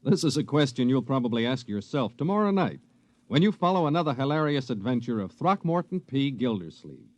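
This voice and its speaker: A man's voice: medium pitch, big pitch swings, a little fast, darkish tone, no echo. An American man reading a scripted promo as a 1950s radio network announcer.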